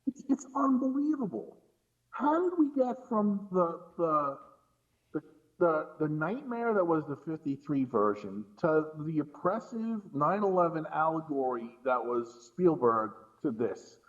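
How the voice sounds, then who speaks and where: A man's voice: fairly high pitch, sing-song, a little slow, highs cut off. A man talking casually about films as a podcast guest, in an incredulous, emphatic way, often repeating words for emphasis. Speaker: podcast guest and film commentator. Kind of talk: casual film discussion.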